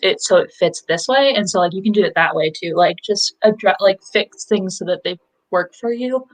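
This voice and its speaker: A woman's voice: mid-range voice, moderate pace, rough recording. A young woman in casual chat as co-host of a book livestream, rambling with lots of likes and ums.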